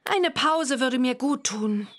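A woman playing an eager, perky young witch girl, the heroine of an animated children's game. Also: high voice, takes it slowly, slight background noise. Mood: eager, excited.

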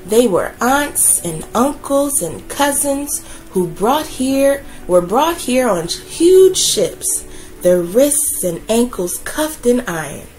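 A woman reads a children's picture book aloud, slowly and soothingly. Her fairly high voice rises and falls a lot as she brings the story to life. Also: faint music behind, low-quality recording. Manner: gentle, expressive, slow and soothing.

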